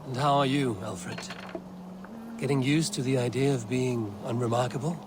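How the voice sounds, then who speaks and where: An actor playing a shrewd Norse warrior leader in a video game, talking in a dry, wry and pointed way. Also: male voice, medium pitch, steady tone, moderate pace, noisy background, lightly acted. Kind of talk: video game cutscene acting.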